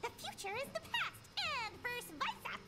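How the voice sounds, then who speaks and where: A woman's voice: sing-song, moderate pace, faint music behind, heavily acted. A woman voicing a chirpy, playful little female gnome who keeps time, in a theatrical, announcing video game voice line. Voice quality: very high, squeaky and bright. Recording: game audio played back under commentary.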